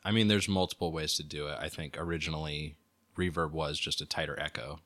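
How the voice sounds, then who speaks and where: A man's voice: rumbling low, fairly even intonation, brisk, a bit bright. A man co-hosting a podcast, chatting casually with short, wry, laconic remarks. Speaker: podcast co-host. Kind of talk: casual chat.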